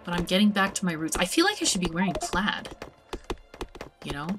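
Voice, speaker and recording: A woman hosting a multiplayer game stream, chatting in a relaxed way and often restarting her words. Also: on the low side, fairly quick, over music. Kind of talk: casual chat while playing.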